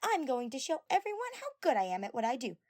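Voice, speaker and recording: Woman doing a cocky loud teen girl inventor, braggy n defensive, high swoopy voice, audio story. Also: very animated, quick pace, no echo, clean studio sound. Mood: boastful, defensive. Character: brash, boastful, foul-mouthed teenage girl inventor.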